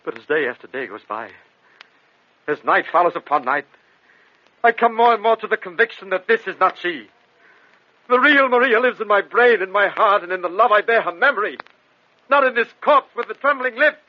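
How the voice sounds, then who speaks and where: A haunted, anguished husband from a gothic radio play. His voice is high and swings widely as he pleads with theatrical fervor. The recording is dull and lo-fi. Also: animated, normal speed. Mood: troubled, despairing. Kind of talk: gothic radio drama acting.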